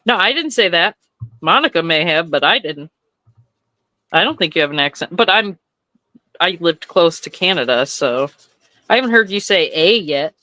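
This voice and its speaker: Playful woman guest with a lowish voice. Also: sing-song, moderate pace, long pauses, pushed and bright, dry recording, rough recording. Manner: playful, teasing, laughs often. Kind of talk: casual craft chat.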